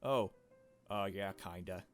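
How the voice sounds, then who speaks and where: A man plays a shy young woman from a visual novel game. The delivery is nervous, awkward and halting, over background music. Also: sits low. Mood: hesitant. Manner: hesitant, halting.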